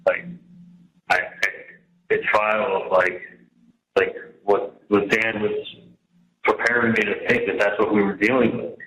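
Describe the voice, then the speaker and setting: Low-voiced man on echoey jail phone. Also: flat delivery, sharp tone. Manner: halting and repetitive, venting about his situation. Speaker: prisoner on a jail phone call. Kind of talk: casual, rambling personal phone conversation. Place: jail, on a telephone. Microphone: telephone handset. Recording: echoey jail telephone call.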